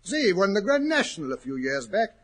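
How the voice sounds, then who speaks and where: An actor playing a genial, easily puzzled older English gentleman doctor in a 1940s radio drama, chatty and a little hesitant. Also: high voice, big pitch swings, fairly quick, exaggerated voice. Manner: hesitant, chatty, old-fashioned exclamations. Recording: muffled, rough 1940s radio recording.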